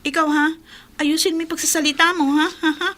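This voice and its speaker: An actress playing a bossy, cruel, scolding aunt with a Filipino accent in a radio drama. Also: female voice, sits high, fairly even intonation, a little fast, fairly bright tone, a little hiss or hum. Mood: scolding, threatening. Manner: scolding, nagging. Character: bossy, cruel aunt ordering children around the house.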